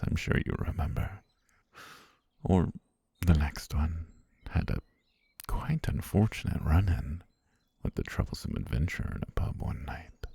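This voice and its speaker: A man playing an obsessive, genteel butler holding a princess captive, in an intimate, softly menacing ASMR roleplay with a breathy, gravelly, half-whispered delivery. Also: sits low, no echo, exaggerated voice. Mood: smug, confiding.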